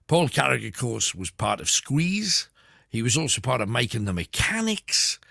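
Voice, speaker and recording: Gravelly, lively radio host. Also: male voice, mid-range voice, normal speed, no echo. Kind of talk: late-night radio chat. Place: radio studio.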